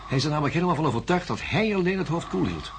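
An actor playing a calm, probing doctor in a radio drama, giving measured questions and instructions. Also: male voice, a little high, slight background noise. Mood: calm, explaining. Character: calm, probing male doctor supervising a patient.

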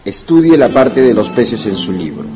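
An actor playing a strict, commanding male schoolteacher in a radio drama, with a drawn-out authoritarian delivery. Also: mid-range voice, big pitch swings, long reverb tail, over music, natural voice. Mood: stern.

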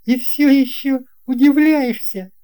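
A man's voice: very high voice, takes it slowly, mellow, smooth, pitched above the performer's own voice. A man voicing a confident, friendly young boy pilot in a storybook tale, assured and lightly teasing.

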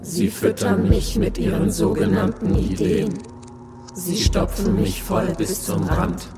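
Brief: grandiose machine in a radio play, high voice, heavy music under it. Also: male voice, big pitch swings, slow, full character voice. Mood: ominous. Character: vast brooding machine archive, male, menacing. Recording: music loud behind.

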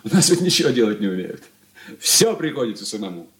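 Haughty grown son, swoopy peevish voice, old soviet radio play, echoey room. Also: male voice, mid-range voice, very animated, quick pace, fairly bright tone. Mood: weary, haughty. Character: irritable, domineering grown son, pompous and petty.

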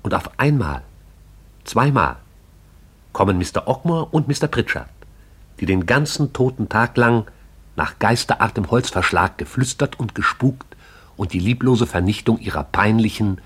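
An actor with a husky voice as the poetic, all-seeing narrator of a radio play. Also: male voice, on the low side, expressive, moderate pace, a bit bright. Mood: lyrical, wry.

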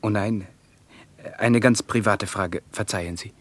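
An actor playing a polite, inquisitive stranger in a radio drama, speaking formally and probing with courtesy. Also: male voice, on the low side, measured pace. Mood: courteous, probing. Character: polite, inquisitive male stranger stopping someone at night.

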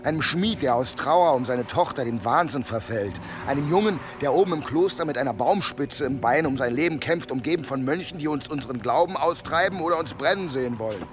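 An actor playing a brooding, hard-drinking medieval husband in a German radio drama, gruff and sardonic. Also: male voice, on the high side, lively intonation, fairly quick, few pauses, lightly acted. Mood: bitter.

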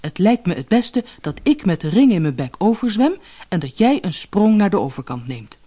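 A woman's voice: mid-range voice, big pitch swings, normal speed, dark tone. A woman voicing a foolish, boastful male dog, offhand and full of excuses, in a storybook tale. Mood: self-assured. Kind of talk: storybook character voice.

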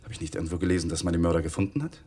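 A man's voice: deep voice, fairly even intonation, very fast, mellow, husky. An actor playing an awkward, intrusive young male lawyer in a German radio crime drama, courteous and hesitant. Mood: politely probing. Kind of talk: German radio crime drama, dialogue and hushed inner monologue.

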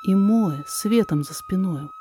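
A woman narrator reading a poetic story aloud in expressive, measured, dramatic verse. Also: mid-range voice, soft background music.

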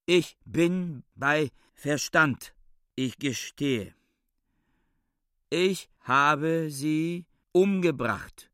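An actor playing a proud, stubborn and confused scholar in a radio drama. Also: male voice, fairly high pitch, drawn-out words, a bit bright, clear, resonant tone, dry recording, clean studio sound. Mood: confessing, defensive. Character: adult male scholar, proud, stubborn, confused under interrogation. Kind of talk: German radio drama acting.

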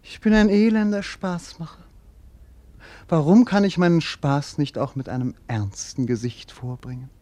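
An actor playing a bored, melancholic young prince in a classic radio play, with a whimsical, mocking, world-weary delivery. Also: male voice, a little high, fairly even intonation, normal speed, mellow, natural voice. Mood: world-weary, brooding.

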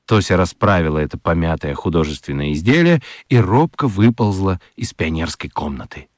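A man narrating a children's radio drama as an expressive storyteller, reading scripted literary prose. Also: low-pitched, moderate pace, no echo, studio quality.

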